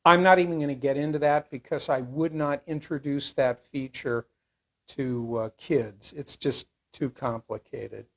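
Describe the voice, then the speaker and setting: An older man giving a casual product review in a rambling, halting way. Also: mid-range voice, fairly even intonation.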